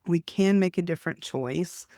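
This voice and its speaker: A man's voice: high-pitched, normal speed, mellow, no echo, studio quality. A man in his fifties with a Texan accent, hosting an interview in an enthusiastic, chatty way. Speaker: host.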